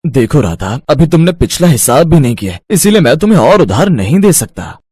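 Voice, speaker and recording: A stern cartoon shopkeeper, acted by a man, firm in manner. The voice is husky and fairly high for a man, with animated pitch and a dry sound. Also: fairly quick, dry recording. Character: stern male medical shopkeeper refusing credit.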